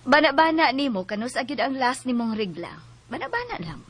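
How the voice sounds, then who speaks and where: An actress with a Cebuano accent playing a brisk, gently scolding midwife in a Bisaya radio drama. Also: female voice, high-pitched, very animated. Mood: brisk, chiding.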